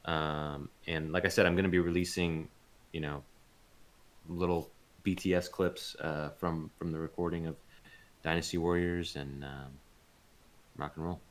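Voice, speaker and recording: A man's voice: a bass voice, flat delivery, normal speed. A man talking casually and informally as an interview guest. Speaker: interview guest, voice actor and audio drama producer.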